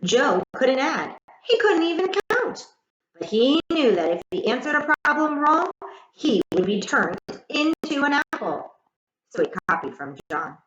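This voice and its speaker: Woman doing a storybook read aloud, really lively up and down voice, echoey slightly muffled room. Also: a little high. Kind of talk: expressive scripted children's story narration.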